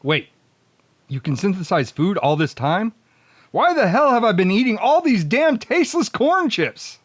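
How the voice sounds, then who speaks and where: A man with a high, very animated voice hosts a comedy radio read-through. He swings between mock-dramatic announcing and sardonic asides, recorded close and dry. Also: normal speed, dry recording. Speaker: host and producer.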